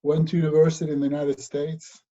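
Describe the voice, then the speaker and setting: A middle-aged man from Spain, a guest in a casual remote interview, talking in a relaxed way with many pauses and fillers. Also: a little high. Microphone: video call. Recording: dull lo-fi video call audio.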